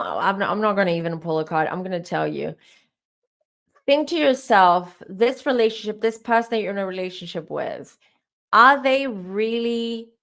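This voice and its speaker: Woman, tarot reader, voice going hoarse. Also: medium pitch. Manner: rambling, advice-giving, speaks straight to viewers. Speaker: tarot reader and live-stream host. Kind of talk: live-stream spiritual Q&A, answering chat questions.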